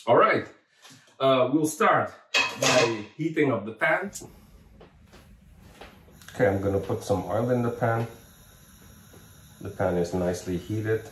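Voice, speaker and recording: A man with a Dutch accent, Dutch his first language, explains a home recipe in a relaxed, halting way full of fillers and restarts. His voice is mid-pitched, and it rings around a roomy kitchen from a little way off the mic. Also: distant mic, a little hiss or hum. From Suriname. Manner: friendly, hesitant, many fillers and restarts. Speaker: cooking channel host. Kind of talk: casual home cooking demonstration.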